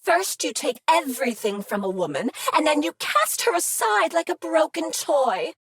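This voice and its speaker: An actress voicing a clingy, jealous female crafting machine who flirts and purrs pet names in a breathy, seductive way. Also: high-pitched, sing-song, moderate pace. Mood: sultry flirtatious. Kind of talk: video game character dialogue.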